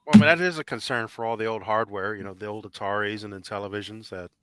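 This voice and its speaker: A man's voice: lowish voice, fast, very noisy. A man on a podcast panel, talking casually with the group.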